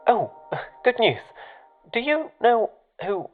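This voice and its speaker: An actor playing a resigned, uneasy colleague in an audio drama, halting and formal through a radio-like filter. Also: medium pitch, very animated, soft background music. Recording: muffled narrow-band communicator sound.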